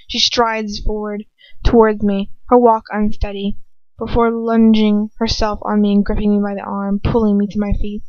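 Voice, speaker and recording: A woman reading a story aloud as an amateur narrator, expressive and informal. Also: mid-range voice, moderate pace, no room sound. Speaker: amateur audiobook reader. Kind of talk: dramatic fan fiction narration with casual asides. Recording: dull lo-fi home recording.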